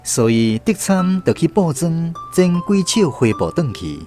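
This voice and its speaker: A man's voice: on the high side, very animated, a little slow, dark tone, soft background music. A man with a Taiwanese accent reading scripted story narration for a radio drama.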